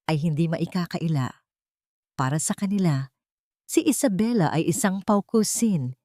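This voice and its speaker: A woman with a fairly low voice narrates a scripted drama. The recording is dry, and the delivery is that of a dramatic storyteller. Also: darkish tone, dry recording.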